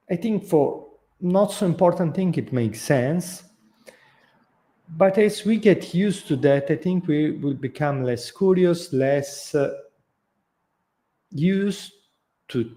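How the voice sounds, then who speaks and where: Middle-aged man, native Italian speaker with a light Italian accent, mellow tone, reflective off-the-cuff delivery. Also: a little high, expressive, slow, pauses often. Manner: thoughtful, unscripted, many fillers and restarts. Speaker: host. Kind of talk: casual talk.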